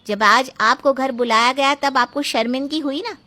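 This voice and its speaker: A woman's voice: sits high, normal speed, bright, forceful tone. A woman playing an earnest, reproachful woman in a dramatized family moral story, questioning as she speaks. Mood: earnest confrontation.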